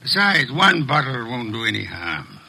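An actor playing a pompous, exacting master detective in an old radio drama, with formal, precise diction. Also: male voice, medium pitch, sing-song, normal speed, creaky, loud music behind the voice, poor audio. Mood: commanding, dry.

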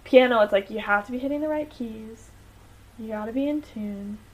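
A woman's voice: on the high side, normal speed, a crowd in the background, low-quality recording. A young American woman, a musician guest on a podcast, chatting casually with many fillers and frequent laughs. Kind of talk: casual chat.

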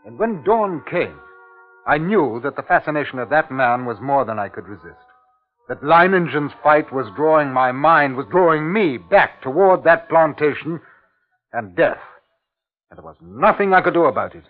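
An actor playing an uneasy, awestruck district commissioner in a measured, grave 1950s radio drama style. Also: male voice, on the high side, lively intonation, lightly acted. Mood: haunted, fascinated. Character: uneasy, awestruck male colonial district commissioner recounting his ordeal. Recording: tinny, band-limited 1950s radio recording, music behind.

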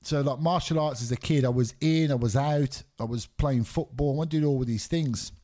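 A man's voice: medium pitch, normal speed, dark tone. A middle-aged man, born in Scotland and raised in England, talking candidly as a guest on a casual podcast, rambling with lots of fillers. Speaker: MMA referee, guest.

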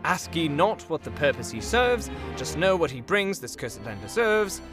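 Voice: medium pitch, sing-song, normal speed, over music, close to an everyday voice. A performer playing a nervous, fawning, bumbling warlock minion in a comedic fantasy audio drama, hesitant and obsequious. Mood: reciting prophecy.

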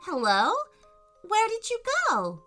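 Innocent, anxious young storybook girl, played by a woman: high, bright voice, earnest and pleading. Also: huge pitch swings, slow, faint music behind, exaggerated voice. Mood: puzzled. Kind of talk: children's storybook character voice.

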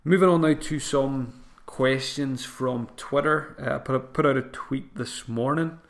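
Man, podcast host, hoarse voice, thinking aloud, restarts phrases, mid pitch. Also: steady tone, measured pace. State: voice getting hoarse. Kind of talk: solo podcast advice talk.

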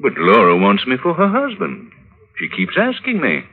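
A meek married gentleman suitor, old radio play, pitch all over, tinny muffled recording. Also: huge pitch swings, normal speed, vocal fry. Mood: meek, courtly. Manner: courtly, deferential, clipped replies. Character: meek, flattering married lawyer, a wavering suitor. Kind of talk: old-time radio drama acting.

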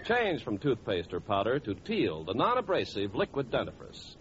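A man's voice: medium pitch, huge pitch swings, normal speed, slight background noise. A man announcing on 1940s radio, reading scripted sponsor copy and credits in a polished, emphatic sales style. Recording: dull old radio broadcast recording.

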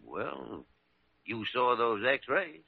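A gruff senior physician, humane under the grumbling, with a voice that swings widely in pitch. It is vintage radio drama, dull and dry in sound. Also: male voice, very animated, very slow, no echo, heavily acted. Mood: grave, regretful. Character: crusty senior male doctor, gruff yet humane. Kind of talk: old-time radio drama acting.

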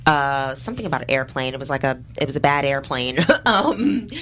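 A woman's voice: a bass voice, animated, a little fast, a bit bright, slight background noise. A middle-aged woman, a guest comedian and actress, chatting casually in an interview and rambling with lots of fillers and restarts.

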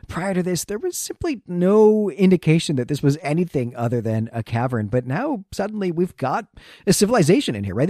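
A man's voice: fairly high pitch, mellow, dry recording, studio quality. A man co-hosting a literary podcast, talking through a story in a casual, chatty and enthusiastic way. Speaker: podcast co-host. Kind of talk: casual literary recap and discussion.

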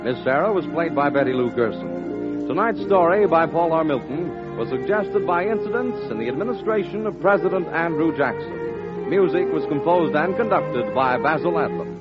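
High-pitched American male radio announcer. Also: expressive, normal speed. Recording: muffled old radio recording, loud music.

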